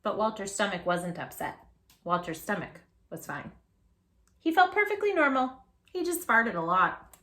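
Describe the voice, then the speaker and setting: A woman hosting a read-aloud storytime for young children, speaking in an animated, emphatic way straight to her little listeners. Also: medium pitch, moderate pace. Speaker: children's storytime host and tutor.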